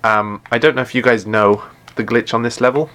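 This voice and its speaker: A man with a deep voice chatting casually while playing a game live, often stumbling and repeating words. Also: steady tone, fairly quick, a bit bright, slight background noise. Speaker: gaming live streamer.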